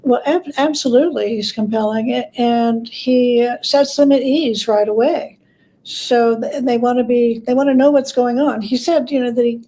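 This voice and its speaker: Mellow, slightly high-voiced woman telling anecdotes. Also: rough recording. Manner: informal storyteller, often quotes and paraphrases. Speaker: guest expert, biographer. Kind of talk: conversational interview, anecdotal explanation.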